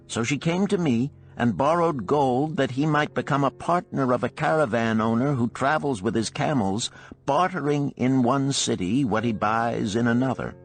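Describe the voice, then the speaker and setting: A man's voice: medium pitch, vocal fry, voice pushed up. A man voicing a shrewd older gold lender in a storybook, speaking in an archaic, emphatic, declamatory way. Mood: exasperated storytelling.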